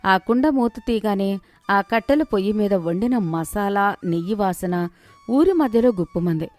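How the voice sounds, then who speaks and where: A woman giving scripted narration for an animated moral story in a steady storytelling manner. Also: medium pitch, normal speed, no room sound, faint music behind.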